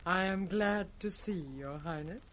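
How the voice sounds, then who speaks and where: An actress playing a king's composed, cold grown daughter in radio Shakespeare, formal and measured. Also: medium pitch, a bit bright, slight background noise, lightly acted. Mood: cool reproof. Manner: measured, formal, coolly reasoning. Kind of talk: 1960s British radio Shakespeare acting.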